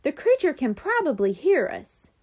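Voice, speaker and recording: A woman's voice: high-pitched, very animated, moderate pace, darkish tone, pitched above the performer's own voice. A woman voicing a clever, confident young girl planner in a children's storybook. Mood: cautious.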